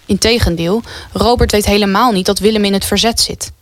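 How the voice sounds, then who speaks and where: A woman's voice: mid-range voice, steady tone, brisk, no echo. A woman reading scripted narration as the storyteller of a wartime radio play.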